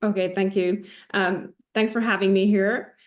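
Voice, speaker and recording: A woman from Finland in her thirties, a native Finnish speaker, talking as a podcast guest in an enthusiastic, warm way with some restarts. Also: medium pitch, flat delivery, normal speed. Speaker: podcast guest, learning designer. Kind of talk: casual interview talk.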